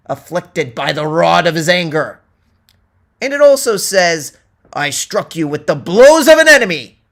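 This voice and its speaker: A man giving a deliberate, theatrical dramatic reading of a satirical story, declaiming its quoted verses. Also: on the high side, fairly bright tone. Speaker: reader.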